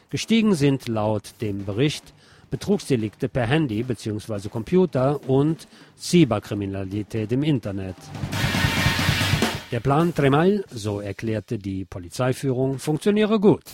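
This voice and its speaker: A man's voice: medium pitch, a little mellow. A man hosting a radio programme, reading scripted local news and adding his own commentary.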